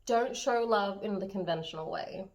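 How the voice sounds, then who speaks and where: A woman's voice: medium pitch, normal speed, dull audio. A woman co-hosting a casual chat, talking in a rambling, self-deprecating way and laughing often. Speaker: co-host.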